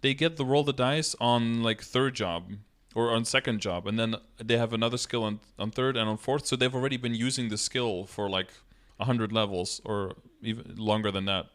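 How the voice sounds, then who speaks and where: A man in his thirties with a medium-pitched voice talks on a live stream. He explains things casually, step by step, as he answers viewers, close to the mic in a room. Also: moderate pace, hardly any pauses, fairly bright tone. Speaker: game streamer. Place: indoor room, streaming setup.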